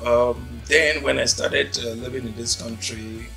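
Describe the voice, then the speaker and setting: A man in his forties from Nigeria, a native Yoruba speaker, telling his life story in an interview with unhurried, thoughtful pauses. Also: mid-range voice, fairly even intonation, vocal fry, sharp tone. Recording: faint music behind.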